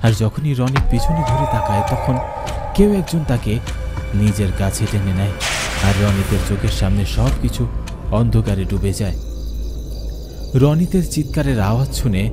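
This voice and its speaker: A man with a fairly low voice narrates an animated horror tale. His telling is dramatic, and he lingers on tense moments. Loud music plays throughout. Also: moderate pace, pauses often. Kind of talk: scripted narration of a horror cartoon.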